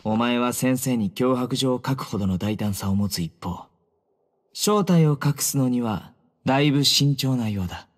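A man playing a stern, calm young investigator in a game, speaking in a measured, commanding way. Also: medium pitch, close to an everyday voice. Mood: stern, accusing. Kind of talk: Japanese anime game voice acting.

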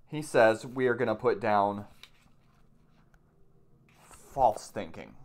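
A man around forty, a plain-spoken meditation teacher who reads aloud and explains things informally, repeating points for emphasis. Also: medium pitch. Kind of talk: reading aloud from a book with informal commentary. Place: small room at home, indoors.

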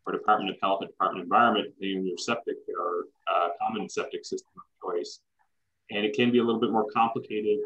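A man's voice: fairly low pitch, a bit bright. A young man explaining informally as a planner and moderator on an online video call. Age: young adult. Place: room at home, on a video call. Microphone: computer microphone on video call. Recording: dull lo-fi video call audio.

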